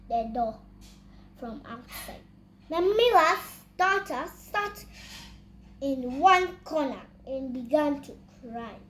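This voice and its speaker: A reader telling a children's fairy tale aloud in a halting way, repeating and correcting words. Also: high voice, fairly bright tone, slight background noise. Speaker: storyteller reading aloud.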